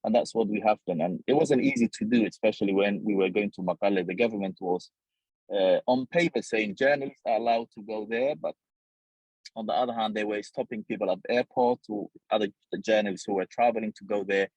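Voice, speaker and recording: Male journalist, Somali accent, low husky voice, earnest interview delivery. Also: brisk, a little mellow, no room sound, highs cut off. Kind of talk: reflective interview account.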